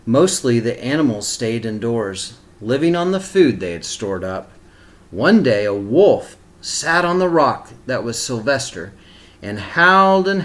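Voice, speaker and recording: A man with a mid-pitched voice reads a storybook aloud as a teacher would for kindergarteners. He narrates the tale and steps out of it with simple explanatory asides, speaking directly to young listeners. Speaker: teacher reading a picture book aloud to kindergarteners.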